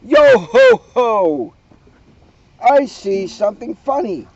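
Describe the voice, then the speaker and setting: A man voicing a nasty, gleeful schoolgirl bully from a storybook, with a drawn-out, taunting delivery. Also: very high-pitched, huge pitch swings, gravelly, darkish tone, dry recording, pitched above the performer's own voice, exaggerated voice. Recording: dull, muffled lo-fi sound.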